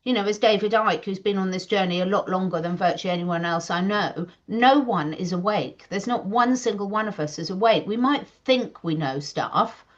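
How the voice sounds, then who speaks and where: A woman's voice: mid-range voice, moderate pace, hardly any pauses. A woman co-hosting a casual chat, talking earnestly in long, emphatic runs. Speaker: co-host. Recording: slightly dull lo-fi audio.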